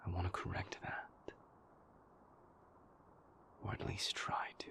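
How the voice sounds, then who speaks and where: A man playing an earnest, guarded, remorseful vampire boyfriend in an intimate audio roleplay, speaking in a breathy, gravelly, halting and deliberate way. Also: bright, forceful tone, whispered. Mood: earnest, reflective. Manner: halting, deliberate, measured phrasing with long pauses.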